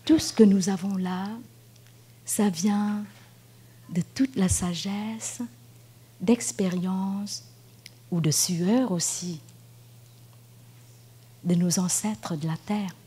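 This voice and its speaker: A Buddhist nun from Vietnam speaking French with a Vietnamese accent, giving a gentle, unhurried dharma talk at a retreat, with pauses and fillers. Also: female voice, mid-range voice, steady tone, husky. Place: meditation hall at a retreat.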